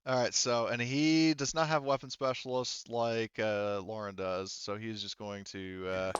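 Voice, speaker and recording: A man with a smooth, clear voice runs a tabletop game. He talks his way haltingly through rulings and narration. The sound is dry and a little dull. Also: on the low side, fairly even intonation, no echo. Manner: halting, thinking aloud. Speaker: game master.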